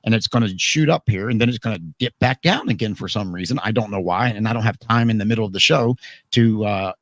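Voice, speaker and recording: A man with a hoarse, tired voice hosts a live talk program. His tone sits low, and he rambles and jokes through restarts. The sound is dry and close, with no room behind him. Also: fast talker, no echo. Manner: chatty, joking, rambling with restarts. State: hoarse, voice worn out. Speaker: radio program host. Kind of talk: casual live talk show chat.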